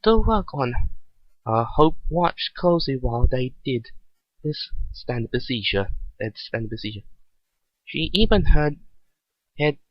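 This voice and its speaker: A man giving an amateur dramatic reading of a story, halting and stumbling over phrases as he goes. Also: medium pitch, expressive, no room sound. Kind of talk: dramatic reading of fan fiction. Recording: dull lo-fi recording.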